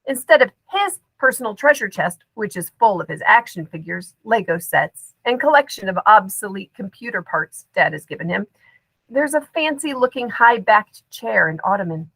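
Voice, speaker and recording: A woman's voice: medium pitch, animated, normal speed, creaky, fairly bright tone, breathy edge, dry recording, low-quality recording. A woman narrating a children's story expressively from a script, acting out the drama as an audiobook reader. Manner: dramatic, acts out dialogue.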